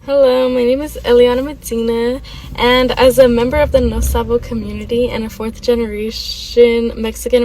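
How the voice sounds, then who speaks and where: A woman's voice: a little high, warm tone, rough recording. A young woman giving personal testimony as a student interviewee, speaking hesitantly with frequent ums.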